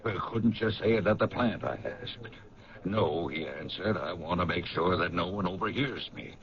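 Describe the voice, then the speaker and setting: A man's voice: sits low, moderate pace, crowd noise. An actor playing an easygoing but evasive businessman who hesitates and stalls under questioning. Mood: confessing. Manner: evasive, hesitant, nervous laughs. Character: easygoing, evasive male paint-company businessman. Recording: dull lo-fi 1970s radio play.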